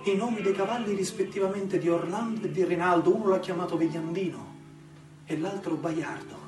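A man's voice: sits high, natural voice. A man playing an earnest, sentimental theatre devotee with a Sicilian accent, telling his tale in an emphatic, enraptured way. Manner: emphatic, drawn-out, enraptured storytelling. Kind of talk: theatrical storytelling monologue on radio. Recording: roomy distant recording with music behind.

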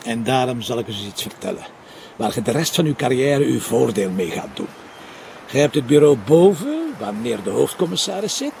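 An actor with a Flemish accent playing a cocky, sardonic middle-aged police commissioner in a TV crime drama, speaking colloquially with a gravelly voice. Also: male voice, medium pitch, lively intonation, normal speed, darkish tone, slight background noise. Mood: sardonic, instructive. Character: cocky, hard-drinking, teasing male police commissioner. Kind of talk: naturalistic TV crime drama dialogue.

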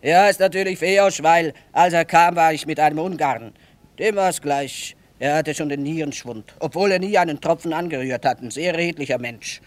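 A woman's voice: sits low, normal speed, close to an everyday voice. An actress playing a shrewd, defiant middle-aged mother who peddles in wartime, blunt and dry-witted, in a German radio play. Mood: defiant, tart.

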